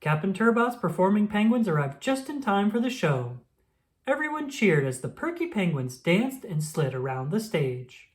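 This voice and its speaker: A woman reading a children's picture book aloud in an expressive storytelling manner. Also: mid-range voice, normal speed. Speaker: storybook reader.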